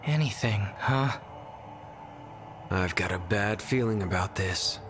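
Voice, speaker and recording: Slow, skeptical game hero. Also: male voice, lowish voice, music in the background. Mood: skeptical, wary. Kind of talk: video game cutscene voice acting.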